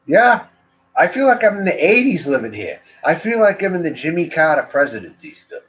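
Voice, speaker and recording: A man's voice: on the high side, sing-song, a little fast, fairly bright tone, a little hiss or hum. A man from Maine with a Maine accent, chatting loosely to livestream viewers as a cigar reviewer, meandering and full of "like" and "you know.". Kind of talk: rambling casual livestream chat. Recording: dull lo-fi livestream audio.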